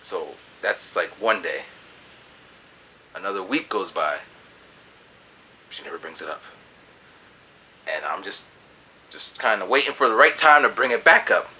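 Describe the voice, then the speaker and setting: Man in his twenties, animated storyteller, bright lively voice, casual vlog delivery. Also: sits low, measured pace, long pauses, slight background noise. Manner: animated, loose storyteller who acts out voices. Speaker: vlogger. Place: small hot room indoors.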